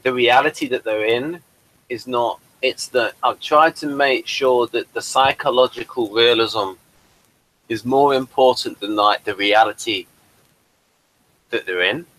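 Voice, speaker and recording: A man's voice: mid-range voice, a little slow, fairly bright tone, poor audio. A man hosting a casual interview, rambling and chatty and addressing viewers as folks. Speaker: host and playwright.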